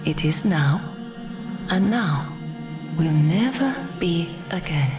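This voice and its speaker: A woman's voice: on the low side, lively intonation. A woman playing a mysterious, cryptic haunting presence in an audio drama, drawing out her words. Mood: eerie, cryptic. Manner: cryptic, drawn-out delivery. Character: mysterious, eerie female presence haunting a dreamer. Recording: music behind the voice.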